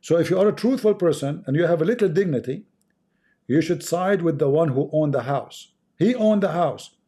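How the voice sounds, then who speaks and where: A man with an Arabic accent, speaking English as a second language, holds forth on his stream into a close microphone. His voice sits somewhat high for a man yet sounds soft and mellow. He delivers in an emphatic, sermon-like way and repeats lines for weight. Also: expressive, moderate pace. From Middle East. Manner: emphatic, preachy, repeats for effect. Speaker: livestream host. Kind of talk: impassioned religious monologue.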